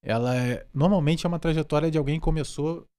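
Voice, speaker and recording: A man's voice: on the high side, fast talker, mellow. A Brazilian man talking casually and reflectively as a podcast guest, feeling his way through his thoughts. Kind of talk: casual conversational interview.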